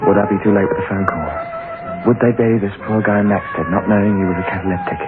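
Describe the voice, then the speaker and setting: A man's voice: lowish voice, fairly even intonation, quick pace, lightly acted. An actor playing an anxious, guilty working-class husband in an old-time radio thriller, stammering and pleading as he tells of his dread. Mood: haunted narration. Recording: tinny old radio broadcast, music behind.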